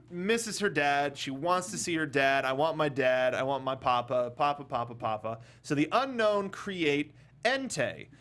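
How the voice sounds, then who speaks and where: A man, fairly young, hosting a casual movie review panel in an animated, jokey way and often stumbling over his words. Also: on the high side. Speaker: talk show host.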